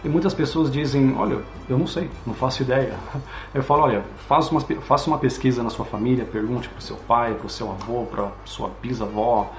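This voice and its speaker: A man's voice: mid-range voice, fairly quick. A Brazilian man hosts a casual interview, explaining points in a friendly, plain way. He is recorded in a roomy space with an echo, and faint music plays behind him. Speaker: host.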